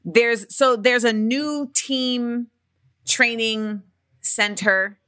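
A woman's voice: on the high side, extremely slow, sharp tone. A woman who was once a gymnast, interviewing in a casual, chatty way, swearing now and then and laughing often.

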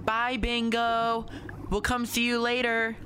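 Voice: medium pitch, completely flat delivery, slow pace, natural voice. An actor playing an earnest, curious and stubborn little boy in a radio play, speaking in blunt, literal childlike answers over noisy ambience. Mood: eager. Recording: noisy outdoor ambience, boat sounds behind.

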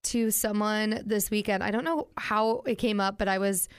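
A woman in her thirties co-hosting a casual chat, expressive and given to dramatic complaining. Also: mid-range voice, moderate pace, smooth, dry recording, studio quality. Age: 30s.

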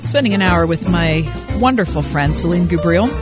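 A woman hosting a radio interview, speaking in a warm, affirming way with brief listening responses. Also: lowish voice, expressive, normal speed, over loud music. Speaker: radio host. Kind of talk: conversational interview.

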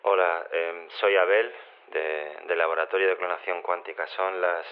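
On a narrow phone line, a low-voiced man in a radio comedy. He talks haltingly and awkwardly, with mild exasperation, as if leaving a voicemail. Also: steady tone. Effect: phone filter.

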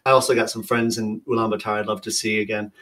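A man's voice: fairly low pitch, quick pace. A man talking as a podcast guest, telling stories casually and filling his speech with "you know" and "like". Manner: lively storyteller, lots of "you know" and "like". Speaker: guest, long-distance traveler. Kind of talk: casual conversation.

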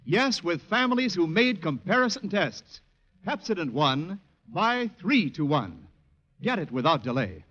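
A man's voice: high-pitched, big pitch swings, a little slow. A man reading an advertisement as a 1940s radio commercial announcer. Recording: muffled 1940s radio recording.

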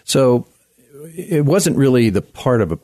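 A man's voice: mid-range voice, big pitch swings, unhurried, warm tone, dry recording, clean studio sound. A man hosting a talk radio interview, steering the conversation with leading questions. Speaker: radio talk show host.

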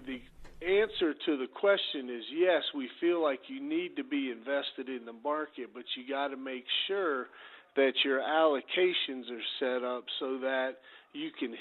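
A man with a slightly hoarse, fading voice calls in as a financial planner guest, talking markets in an upbeat, easygoing way. He comes through a thin, tinny phone line. His pitch sits in the middle range. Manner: upbeat, easygoing sales talk. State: losing his voice. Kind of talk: radio guest segment.

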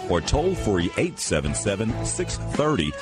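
A man with a low voice reads a formal radio show announcement in a measured way. He speaks slowly, with a very lively rise and fall. Clear guitar music plays behind him on the broadcast.

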